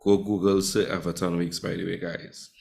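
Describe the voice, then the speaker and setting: A man's voice: low voice, little pitch movement, normal speed, gravelly, darkish tone. A man teaching a live online Spanish class, chatty and teasing with his students.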